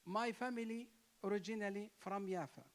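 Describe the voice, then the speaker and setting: A man's voice: sits high, moderate pace. A man with a Palestinian Arabic accent speaks to an interfaith gathering in emphatic, impassioned English. He repeats phrases for force and lifts his voice to stress key words, his Arabic native tongue plain in the sound of his English. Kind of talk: impassioned speech.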